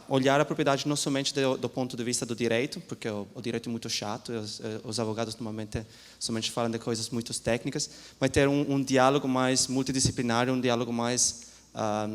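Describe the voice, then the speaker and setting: A male law professor addresses a seminar at an ordinary middle pitch. He speaks haltingly, repeating words and restarting often as he mixes languages. His voice rings with the long reverb of a lecture hall. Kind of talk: seminar talk.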